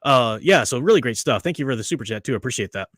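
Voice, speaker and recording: A man's voice: mid-range voice, animated, racing, fairly bright tone, no room sound, studio quality. A man hosting a casual podcast chat, opinionated and chatty, laughing often. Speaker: podcast host.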